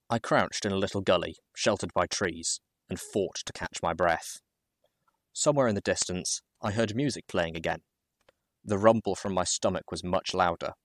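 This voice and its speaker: A man reading a first-person story aloud as a dramatic audiobook narrator, with measured phrasing and deliberate pauses. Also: sits low, dry recording, clean studio sound.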